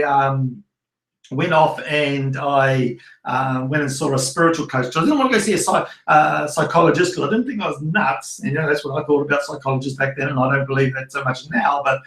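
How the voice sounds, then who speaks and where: A man's voice: on the high side, fairly bright tone, roomy sound, poor audio. A middle-aged man with a New Zealand accent, giving a loose, rambling masterclass talk as a prosperity coach, full of restarts and fillers.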